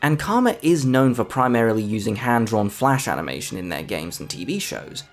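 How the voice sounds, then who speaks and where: A man narrates a scripted film review in an enthusiastic, emphatic way. His mid-pitched voice moves around with lively intonation. Soft music plays underneath. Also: fairly quick. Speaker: video essayist, film reviewer. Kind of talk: scripted video essay narration. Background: background music.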